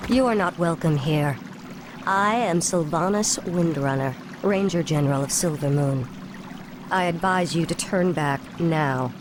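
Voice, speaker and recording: An actress playing a proud, defiant elf ranger general in a fantasy video game, with a stern, commanding delivery. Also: female voice, low-pitched, unhurried, noisy background. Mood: stern defiance. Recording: loud music behind the voice.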